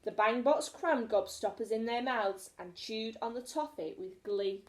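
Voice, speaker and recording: A woman's voice: on the high side, measured pace. A woman teacher reading a children's story aloud expressively, recorded in an echoey room.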